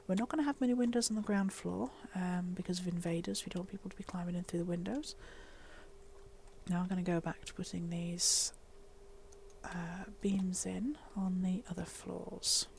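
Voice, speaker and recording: A woman talking through a game build in a soft, half-whispered voice, wheezy from a chest infection. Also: on the low side, gravelly, slight background noise. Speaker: Minecraft building tutor. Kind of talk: casual game tutorial voice-over.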